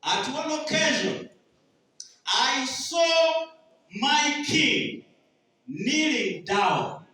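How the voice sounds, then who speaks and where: A Ugandan man preaches with a high, bright voice and slow, measured delivery. He keeps quizzing the congregation to complete his lines. His voice rings through a very echoey hall, heard from some distance off the mic. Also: very animated. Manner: call-and-response questions to the congregation. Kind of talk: sermon.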